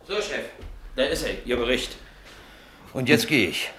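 An actor in a German radio play, playing a cheeky, eager newspaper reporter with a hurried, breezy manner. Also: male voice, mid-range voice, expressive, sharp tone, slight background noise.

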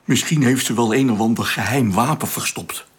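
A man's voice: mid-range voice, normal speed, breathy edge, close to an everyday voice. An actor playing an eager, cheeky spaceship crewman in a radio drama, colloquial and impulsive.

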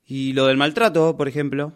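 A man's voice: on the high side, steady tone, moderate pace, close to an everyday voice. An actor with a Rioplatense Argentine accent playing a defiant, indignant character from a novel who argues with his author, in a radio play rehearsal. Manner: argumentative, reproachful.

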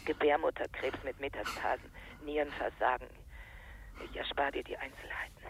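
A woman's voice: a bass voice, fairly even intonation, normal speed, a little hiss or hum, low-quality recording. An actress playing a grim, matter-of-fact mother on the telephone in a radio drama, blunt and controlled.

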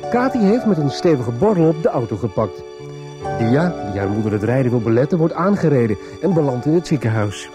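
A man's voice: mid-range voice, very animated, a little fast, music in the background. A man narrating a scripted recap for a radio soap serial.

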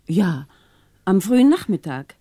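An actress playing a frail, genteel older bereaved wife in a 1960s German radio play, speaking hesitantly. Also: female voice, mid-range voice, very animated, mellow, husky, no echo, clean studio sound. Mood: genteel, guarded. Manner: hesitant, halting.

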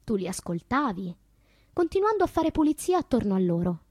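An actress playing an anguished woman poet in a theatrical, declamatory monologue with long pauses. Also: a little high, very animated, a little mellow, husky, no room sound, studio quality. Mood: wry, bitter. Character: anguished woman poet recalling loves, madness and death. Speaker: stage actress.

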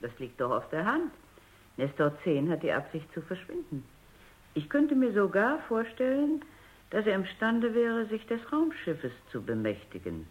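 An actress playing a probing, authoritative robot psychologist in a German radio drama, with a formal and pointed manner. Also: female voice, mid-range voice, wildly swooping pitch, moderate pace. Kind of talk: German radio drama acting with first-person narration. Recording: dull, band-limited radio recording.

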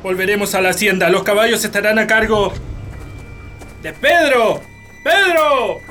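Harsh, high-pitched, forceful Chilean patriarch. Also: male voice, expressive, unhurried, soft background music, exaggerated voice. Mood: commanding. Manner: commanding, threatening. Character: harsh, angry, domineering landowner patriarch. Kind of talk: radio drama acting.

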